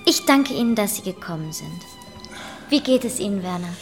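Actress as playful, high-voiced coquettish baroness. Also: female voice, lively intonation, a little fast, faint music behind, natural voice. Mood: gracious. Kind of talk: radio play acting.

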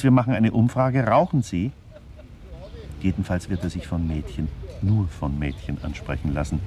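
A wry, digressive male narrator in an experimental radio play. His voice sits very low and he speaks deliberately, musing aloud to his listeners over clear background noise. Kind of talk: literary radio play monologue.

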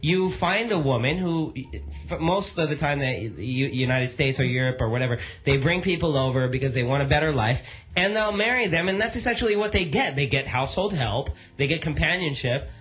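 A man's voice: fairly high pitch, expressive, fairly quick, a bit bright, lo-fi sound. A man co-hosting a casual radio chat show who rambles and asks listeners questions.

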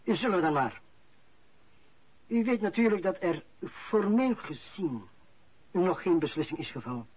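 Sardonic company boss, husky highish voice, courteous, ironic pauses, radio play. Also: male voice, lively intonation, darkish tone, no echo, exaggerated voice. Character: smooth-talking sardonic male company boss. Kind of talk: radio drama acting. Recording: dull lo-fi radio play recording.

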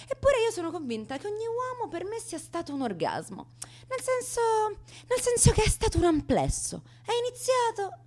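A woman's voice: on the high side, heavily acted. An actress playing a wounded, brazen young woman confessing her troubled past in a theatrical, emotional radio monologue full of sighs, gasps and abrupt swings. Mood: disillusioned.

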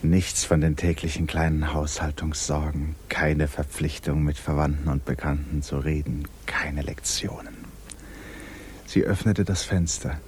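An actor as the grave, sympathetic narrator of a literary radio play, speaking in a measured way. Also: male voice, extremely low pitch, fairly even intonation, a little mellow, a little hiss or hum. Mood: calm.

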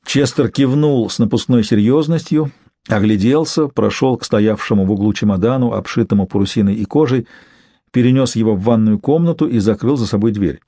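A man's voice: on the low side, fairly quick, warm tone, no room sound. A man narrating a novel as a scripted literary audiobook.

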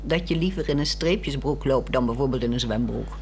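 Dry, teasing housewife in radio acting. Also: female voice, extremely low pitch, a little fast, a little mellow, soft background music. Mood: dry, probing. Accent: Netherlands Dutch. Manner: dry, probing, pointed. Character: bored, frank housewife, teasing and probing. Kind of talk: 1960s radio drama acting.

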